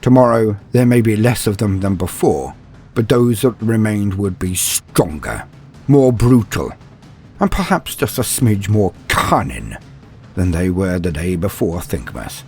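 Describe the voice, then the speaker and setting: A man's voice: lowish voice, sing-song, measured pace, a little mellow, soft background music. A man narrating a comedic fantasy story in a mock-epic, dramatic storytelling manner.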